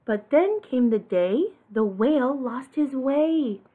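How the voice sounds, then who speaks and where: A woman reading a children's picture book aloud like a teacher, with an expressive delivery, recorded at home. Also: sits high, slow pace, slight background noise. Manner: expressive, asks listeners questions. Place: living room at home. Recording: dull, phone-like home video audio.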